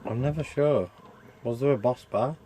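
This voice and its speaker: A man's voice: mid-range voice, moderate pace, dark tone, a little hiss or hum. A man giving casual, chatty commentary over gameplay, thinking aloud and asking himself questions as a reviewer. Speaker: video game reviewer.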